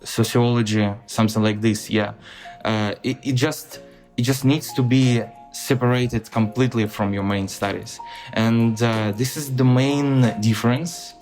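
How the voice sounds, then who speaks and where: A young man with a Ukrainian accent, a podcast guest answering in a halting, reflective way with many pauses and restarts. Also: medium pitch, steady tone, darkish tone, soft background music. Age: young adult.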